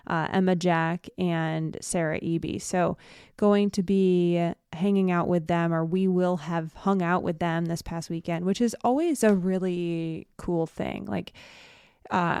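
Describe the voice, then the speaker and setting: A woman's voice: lowish voice, a little mellow, clear, resonant tone, no echo, clean studio sound. A young adult woman hosting a solo podcast, chatting casually and rambling with fillers and restarts, sounding a bit stuffy and nasal. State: congested. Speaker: podcast host.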